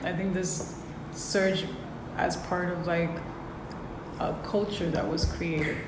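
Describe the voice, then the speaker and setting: A woman's voice: on the low side, fairly even intonation, slow pace, noisy background. Dominican woman in an echoey room. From Dominican Republic. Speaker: guest. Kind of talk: casual interview.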